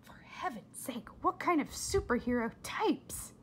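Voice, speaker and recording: A woman's voice: high-pitched, lively intonation, a little slow, fairly bright tone, a little hiss or hum. A woman voicing a politely overbright older great-aunt in a storybook, theatrical and emphatic. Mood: exasperated.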